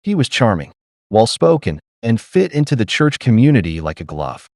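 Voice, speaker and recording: A man narrating a scripted dramatic story in a solemn, measured storytelling manner. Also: medium pitch, big pitch swings, darkish tone, no room sound, clean studio sound.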